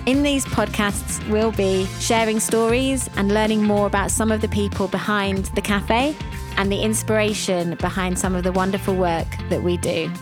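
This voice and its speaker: A woman's voice: medium pitch, fairly even intonation, music in the background. Reflective woman. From England. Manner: reflective, with frequent ums and pauses. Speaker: podcast host and cafe founder. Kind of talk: conversational podcast storytelling.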